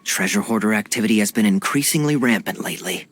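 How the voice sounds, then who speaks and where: An actor playing a suave, courteous cavalry captain in a video game cutscene. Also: male voice, fairly low pitch, animated, quick pace, heavy background noise, close to an everyday voice. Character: suave charming knight captain man, smooth and teasing.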